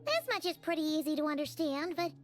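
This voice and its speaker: A woman's voice: sits high, fast, faint music behind, natural voice. A woman voicing a small, childlike fairy companion in an anime game, chatty, curious and given to hesitant, chirpy stammering. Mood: wondering.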